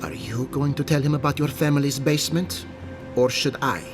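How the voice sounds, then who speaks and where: An actor voicing a stern, officious governor in a video game, with formal, disapproving speech. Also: male voice, medium pitch, brisk, music in the background, close to an everyday voice. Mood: concerned, pointed. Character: stern, officious male governor, guarded and authoritative.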